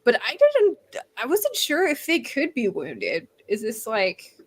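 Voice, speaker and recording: A woman with a fairly high voice talks as host of a casual book discussion. Her manner is informal, giggly and enthusiastic. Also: wildly swooping pitch, unhurried.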